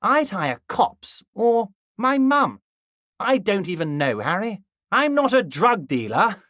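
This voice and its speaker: Smug old union boss, swooping high voice. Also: male voice, sing-song, pushed and bright, no echo, clean studio sound, full character voice. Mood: coyly evasive. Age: older. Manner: folksy, insinuating, mock-modest, drawn-out emphasis. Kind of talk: video game character dialogue.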